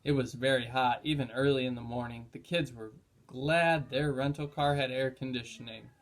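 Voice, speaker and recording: A man's voice: medium pitch, fairly even intonation, normal speed. A man narrating a children's chapter book in a read-aloud style.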